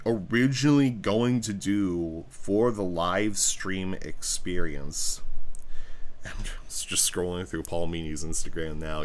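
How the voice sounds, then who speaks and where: Male stream host with a low, deep voice; chatty, rambling, eager. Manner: rambling, excitable fan talk. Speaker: livestream host. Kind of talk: casual livestream chat.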